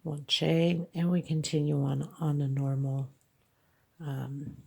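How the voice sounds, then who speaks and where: Slow, congested woman teaching crochet. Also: sits low, steady tone, gravelly, darkish tone, slightly rough. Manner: slow, counting stitches aloud with frequent okays. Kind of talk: hands-on tutorial narration.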